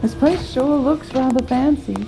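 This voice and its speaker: A woman's voice: high voice, fairly even intonation, measured pace, music in the background, rough recording. A woman playing a curious, wry young travelling companion in an audio drama, casual and questioning.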